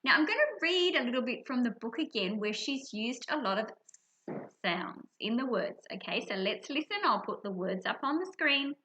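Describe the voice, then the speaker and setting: A woman presenting an explanatory lesson for children, expressive and stressing key words. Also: fairly high pitch, normal speed, rough recording. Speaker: teacher presenting a reading lesson.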